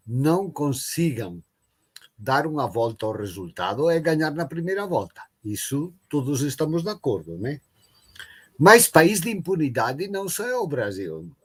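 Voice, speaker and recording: A man speaking Portuguese, talking slowly and with emphasis as a guest professor on an online panel. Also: mid-range voice, slightly rough. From Brazil. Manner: slow, deliberate, emphatic. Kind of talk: live online panel talk.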